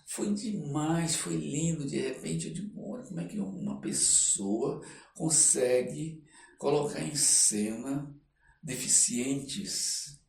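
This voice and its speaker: An older man with a Brazilian Portuguese accent tells stories as an interview guest, in a halting way, repeating phrases for emphasis. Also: on the high side, animated, creaky, a little mellow, recorded from a distance. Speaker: podcast guest, stage performer. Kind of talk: conversational storytelling interview. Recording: roomy echo, off-mic, faint noise.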